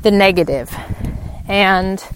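Slow-talking woman podcast host, reflective. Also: medium pitch, background noise. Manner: halting, pauses mid-sentence while thinking. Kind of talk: reflective, unscripted casual monologue. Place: outdoors on a walk, in wind.

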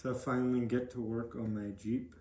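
A young man gives plain, halting answers as a podcast guest. His pitch is mid-range and stays quite flat, and the room sounds echoey. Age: young adult. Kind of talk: casual interview answers.

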